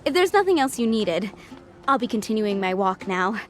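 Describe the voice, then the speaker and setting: A woman's voice: medium pitch, big pitch swings, normal speed, faint music behind, natural voice. A woman voicing a friendly townswoman in a video game, giving event news in an informative, easygoing way. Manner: friendly, informative, light laugh. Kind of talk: game dialogue.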